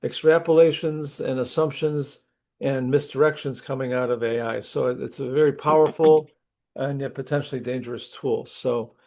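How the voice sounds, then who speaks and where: A middle-aged man with a cold, his voice going, runs an online class over a computer mic. He talks in an easy, conversational way, clears his throat often and restarts his sentences. His pitch sits in a middle range. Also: fairly even intonation, normal speed, darkish tone. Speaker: university instructor. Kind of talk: opening a class session online. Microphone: computer mic on a video call.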